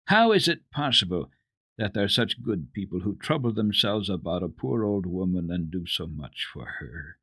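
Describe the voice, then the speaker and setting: A man's voice: low voice, lively intonation, normal speed, fairly bright tone, slightly rough, no room sound, exaggerated voice. A man voicing a frail, pious old grandmother in a storybook reading, with a sighing, heartfelt delivery. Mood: moved gratitude.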